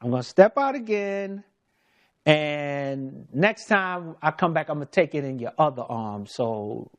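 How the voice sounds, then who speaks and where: Reassuring nurse, quoted by a man in a story retelling, lightly higher voice. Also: expressive, measured pace. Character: woman nurse, patient and reassuring.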